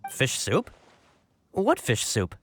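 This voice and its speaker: A man's voice: medium pitch, wildly swooping pitch, takes it slowly, husky, exaggerated voice. An actor playing an earnest, deferential young man in an anime video game, fretful, pleading and insistent. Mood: flustered denial. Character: earnest, anxious, deferential young male underling. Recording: background music behind the voice.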